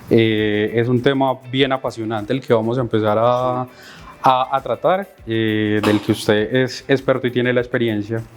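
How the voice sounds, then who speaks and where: A man hosting a podcast, earnest and pausing often while asking questions. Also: mid-range voice, slight background noise. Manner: earnest, many fillers and restarts when asking questions. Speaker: podcast host.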